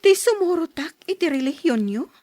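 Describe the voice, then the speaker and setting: High-voiced earnest young Ilocano daughter. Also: female voice, lively intonation, normal speed, warm tone, no room sound. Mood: hurt, firm. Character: young woman in love, dutiful daughter, firm in principle. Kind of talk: Ilocano radio drama acting.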